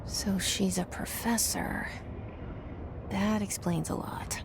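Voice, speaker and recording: A woman's voice: medium pitch, takes it slowly, gravelly. A woman playing a sardonic, wary heroine in a video game, speaking her private thoughts dryly. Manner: deadpan, dry. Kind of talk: video game dialogue acting. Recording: loud background noise.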